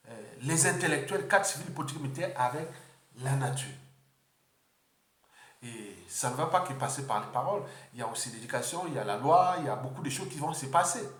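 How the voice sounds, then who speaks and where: Male political speaker from Congo, mid pitch, unscripted and halting, reverberant room. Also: a bit bright. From Republic of the Congo. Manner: halting, repeats words, many fillers.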